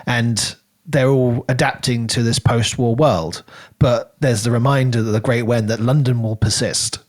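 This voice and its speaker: A man's voice: mid-range voice, steady tone, measured pace. A man co-hosting a podcast, talking through a book in a casual, conversational and rambling way. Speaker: podcast co-host reviewing a book.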